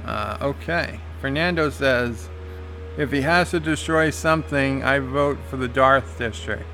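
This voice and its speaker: A man's voice: mid-range voice, unhurried, sharp tone, faint music behind. A man streaming a game, reading viewer comments aloud and answering them casually, with lots of "uh" fillers.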